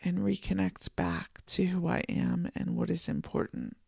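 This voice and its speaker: A podcast host giving a soft, contemplative solo monologue from a home office, voice a little froggy this morning. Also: mid-range voice, wildly swooping pitch, creaky, slightly rough, no echo, clean studio sound. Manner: soft, slow and contemplative, with gentle restarts. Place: home office and art studio.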